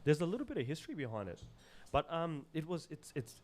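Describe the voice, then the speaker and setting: A man from Zimbabwe with an Australian accent, talking earnestly and haltingly in casual podcast conversation, often repeating words as he searches for phrasing. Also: on the high side, very animated, a little mellow, slight background noise. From Zimbabwe, moved to Australia as a child. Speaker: podcast guest.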